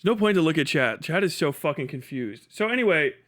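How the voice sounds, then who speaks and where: Higher-pitched man, blunt, sweary streamer. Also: very animated, fast talker, a bit bright. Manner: profane, blunt; rambling, muttering asides to self. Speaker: livestream host. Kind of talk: casual livestream commentary, talking to chat.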